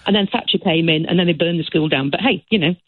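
A woman's voice: lowish voice, quick pace, breathy edge, dry recording. A woman caller speaking in an emphatic, passionate way. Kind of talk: phone-in call. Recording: tinny phone line.

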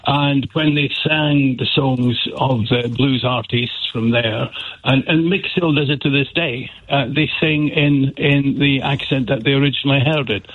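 A Scottish man from Aberdeenshire calls into a radio show and explains his point earnestly, pausing now and then. His voice comes through a tinny, narrow phone line at an everyday pitch. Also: no room sound. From Aberdeenshire, Scotland. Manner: earnest, explanatory, a bit halting. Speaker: radio caller.

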